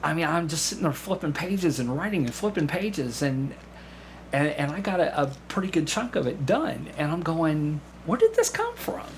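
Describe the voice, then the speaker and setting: A man telling personal anecdotes in casual conversation, haltingly, repeating phrases and acting out little asides. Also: on the high side, very animated. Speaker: podcast guest, amateur actor. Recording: clearly audible background room noise.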